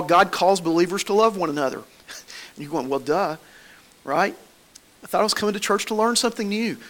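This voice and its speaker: A man's voice: high-pitched, fairly bright tone. A man with a Southern American accent preaching a sermon in a church, folksy and conversational, with homespun stories. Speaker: pastor.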